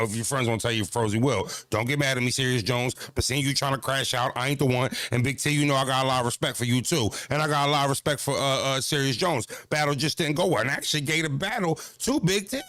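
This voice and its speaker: A man's voice: fairly high pitch, a bit bright, no echo, studio quality. A man in his thirties talking casually to camera about battle rap and self-care, loose and profane, full of "you know what I mean.". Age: 30s. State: just worked out at the gym. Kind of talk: casual vlog talk to camera.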